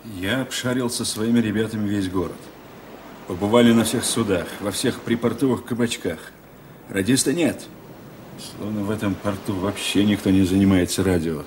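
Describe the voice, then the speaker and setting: An actor playing a frustrated, blunt seaman in a radio drama, giving a brisk report. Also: on the low side, a little mellow, lightly acted. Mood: exasperated. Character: frustrated ship's crewman reporting a fruitless search. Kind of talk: 1980s Soviet radio drama acting. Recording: faint background noise.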